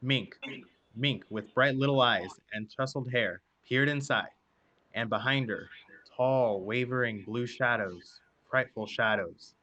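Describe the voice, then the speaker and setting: A man narrating a scripted radio drama with suspenseful, deliberate phrasing. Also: medium pitch, sharp tone, muffled recording.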